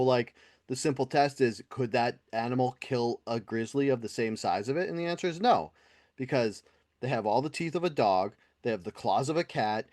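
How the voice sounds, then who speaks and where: A middle-aged man co-hosting a casual podcast, talking in an animated, rambling way and swearing freely. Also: mid-range voice, no room sound, studio quality. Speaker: podcast co-host. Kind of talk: casual profane podcast chat.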